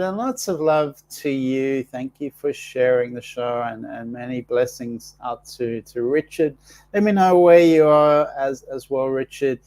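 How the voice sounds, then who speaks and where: A middle-aged man from South Africa chats casually on a livestream, reading out viewer comments. He is congested with allergies and rambles, restarting his sentences and filling pauses. His pitch sits in an ordinary middle range for a man. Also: a little mellow. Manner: rambling, with frequent restarts and fillers. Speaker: livestream host and healer.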